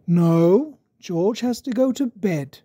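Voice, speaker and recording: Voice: medium pitch, animated, takes it slowly, mellow, husky, dry recording, studio quality, voice pushed up. A narrator voicing a cartoon father pig who calls out and reassures in a storybook reading. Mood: reassuring, firm. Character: cheerful cartoon father pig calling out to children.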